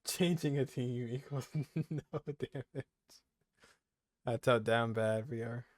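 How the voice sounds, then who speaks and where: A man's voice: mid-range voice, slow pace, no echo, studio quality. A young man giving casual gameplay commentary as a streamer, with short exclamations and muttered asides. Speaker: gaming streamer. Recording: faint game music behind the voice. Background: video game music.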